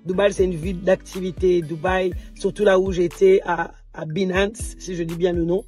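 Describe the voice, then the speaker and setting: Woman entrepreneur, mellow and slightly hoarse, loose chatty vlog delivery. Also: lowish voice, little pitch movement, moderate pace, soft background music. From DR Congo. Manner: chatty, with frequent restarts and repeated words. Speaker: entrepreneur and vlogger. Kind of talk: casual vlog talk to viewers.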